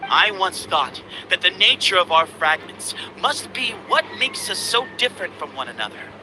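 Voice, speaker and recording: Earnest young desert duelist, high voice. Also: male voice, very animated, background noise. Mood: reflective, sincere. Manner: solemn, deliberate declaiming. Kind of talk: dramatic English game voice acting.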